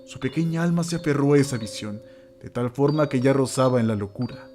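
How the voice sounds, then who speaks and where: A man's voice: medium pitch, a little mellow. Theatrical male horror narrator over music. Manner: theatrical, suspenseful, with dramatic pauses. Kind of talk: dramatic scripted horror narration for a radio drama.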